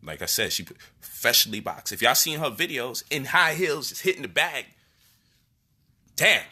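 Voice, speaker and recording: A man's voice: mid-range voice, very animated, normal speed, bright, forceful tone, slightly rough. A man hosting a livestream, teasing his partner in playful banter and laughing often. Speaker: host.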